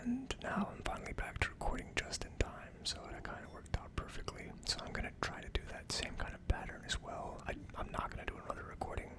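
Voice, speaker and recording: An ASMR video host whispering casually to viewers in a soft, unhurried manner.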